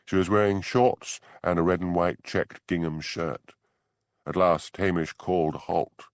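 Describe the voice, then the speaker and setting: A man's voice: low-pitched, fairly even intonation, unhurried, dry recording. A man reading a novel aloud as a scripted audiobook narration.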